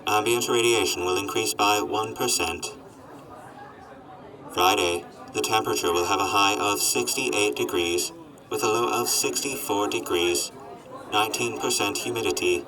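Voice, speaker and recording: A miserable, self-loathing robot drones through his lines in a flat machine monotone. The voice sits low but has a bright, forward edge. He is heard from a little way off the mic, with faint music underneath. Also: normal speed, far from the mic, a faint crowd, low-quality recording. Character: gloomy miserable male robot on treads. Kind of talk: radio drama acting.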